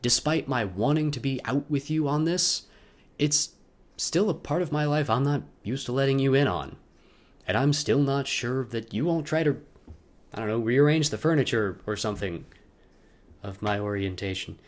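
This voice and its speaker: Theatrical young man reading a personal letter. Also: medium pitch. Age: young adult. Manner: theatrical, halting delivery with emphatic repeats and wry asides. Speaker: video letter narrator.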